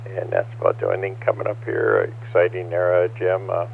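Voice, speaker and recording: Deep gravelly hoarse older man on radio. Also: sing-song. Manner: halting, many uh fillers. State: hoarse, coming down with a cold. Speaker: ham radio net check-in. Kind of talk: casual chat over ham radio. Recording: tinny two-way radio transmission with faint hum.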